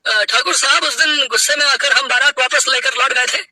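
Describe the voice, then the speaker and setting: A man's voice: very high-pitched, steady tone, a little fast, sharp tone, no room sound, heavily acted. A man playing a proud, formal father of a groom in a dramatic story. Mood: apologetic.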